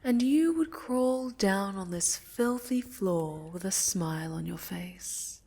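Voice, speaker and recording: A haughty necromancer lady, played by a woman, speaks softly in a fantasy ASMR roleplay. Her voice is breathy and often sinks to a whisper. She takes her time over each phrase, silky and condescending, with sinister sweetness. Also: medium pitch, lively intonation, heavily acted. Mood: cold, suspicious. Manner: condescending, silky, menacing, drawn-out. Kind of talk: soft-spoken roleplay ASMR, scripted character acting.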